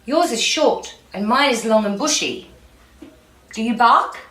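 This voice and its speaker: Woman doing a pondering storybook fox, swooping pitch. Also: lively intonation, unhurried, fairly bright tone. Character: kindly, uncertain male London fox giving advice. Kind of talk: children's storybook read-aloud. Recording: echoey room.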